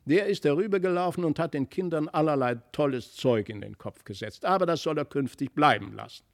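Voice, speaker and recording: A man's voice: on the high side, brisk, vocal fry, dry recording, clean studio sound. Storybook lady of the manor, read by a man: certain, dismissive, no nonsense. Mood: brisk, dismissive. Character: country nobleman's wife, brisk, dismissive, sure of herself.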